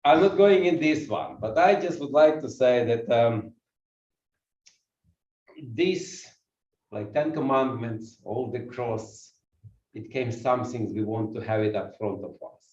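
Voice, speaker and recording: A man's voice: medium pitch, a little slow, darkish tone, highs cut off. Ukrainian accent guy preaching, walks around, keeps asking the church questions, kinda far off and echoey. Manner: walks while preaching, asks the congregation questions. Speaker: guest pastor. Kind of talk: sermon with audience questions. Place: church hall.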